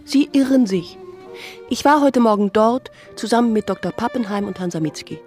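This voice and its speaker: An actress playing a composed, cultured spa guest in a formal, articulate radio drama style. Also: female voice, medium pitch, animated, moderate pace, darkish tone, faint music behind, close to an everyday voice. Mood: assured.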